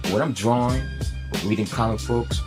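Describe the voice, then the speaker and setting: A man's voice: mid-range voice, moderate pace. A man giving a guest endorsement for a radio station ident, with music behind. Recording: music bed under voice.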